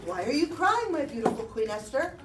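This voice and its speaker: A woman's voice: high-pitched, sing-song, a little fast. A woman voicing a dim, bossy, party-loving king in a puppet show, blunt and emphatic. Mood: concerned. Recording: roomy, distant mic, faint noise.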